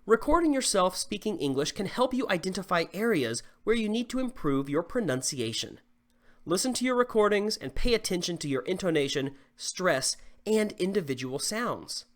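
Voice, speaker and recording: A man in his thirties from the southern US with a slight Southern accent, teaching English clearly and deliberately to camera from a small home studio. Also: high-pitched, sing-song. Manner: clear, deliberate teaching delivery with modeled examples. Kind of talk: scripted lesson to camera.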